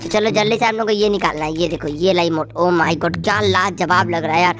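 A woman's voice run through a voice changer, hosting casual gameplay commentary, chatty and repeating phrases. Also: fairly quick. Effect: voice changer raising the pitch. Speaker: gaming video host. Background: background music.

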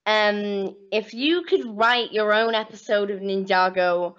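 A woman's voice: medium pitch, a bit bright, clear, resonant tone. A young woman hosting a casual video-call interview as an eager fan, murmuring along while the guest talks. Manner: eager fan, quick back-channel murmurs. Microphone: computer webcam mic. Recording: dull lo-fi webcam audio.

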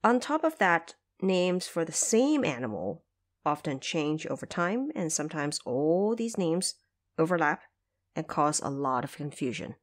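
A woman hosting production notes, reading a scripted explanation. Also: low-pitched. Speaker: host of production notes for an audio drama series.

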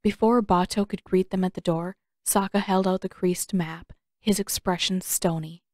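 A woman's voice: fairly low pitch, fairly even intonation, moderate pace, a little mellow, dry recording. Woman narrator, very dry recording. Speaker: audiobook narrator. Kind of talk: scripted dramatic fiction narration.

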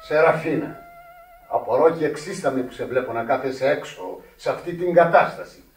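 A man plays a scolding parish priest in a radio play. His voice is high for a man, formal and emphatic as he admonishes. It sounds echoey and set well back from the microphone. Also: far from the mic, soft background music, natural voice. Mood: scolding, indignant. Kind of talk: radio drama acting.

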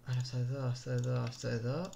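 A Greek-accented man commentates casually on his own gameplay. His mid-pitched voice is informal and reactive, full of slang and short exclamations. The desktop mic carries faint noise with keys and clicks behind him. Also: flat delivery, creaky. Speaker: gamer. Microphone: desktop USB microphone. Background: keyboard and mouse clicking.

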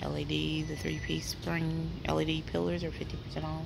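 A woman with a low, unhurried voice walks a big store with her phone camera, reading out deals and prices in a chatty, practical tone. The phone mic picks up the steady hum of the store around her. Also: creaky. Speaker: shopping vlogger. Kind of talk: walk-through store haul narration. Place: large indoor retail store. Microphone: handheld phone camera. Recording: store ambience noise behind. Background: store ambience.

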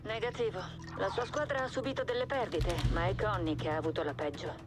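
Casting: female actor as matter-of-fact intelligence officer for war game briefings, mid-pitched, authoritative, score playing underneath. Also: brisk, music in the background, close to an everyday voice. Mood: businesslike. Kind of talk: cinematic military game acting.

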